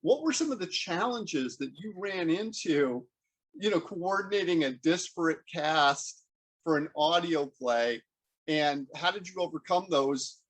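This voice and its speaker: Male host, fairly high-pitched, conversational interview questioning with a halting, searching delivery. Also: expressive, rough recording.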